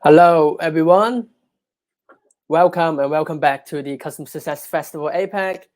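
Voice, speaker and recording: A man's voice: fairly high pitch, moderate pace, a little mellow. A man hosting an online conference, introducing speakers in an upbeat, announcer-like way. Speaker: event host.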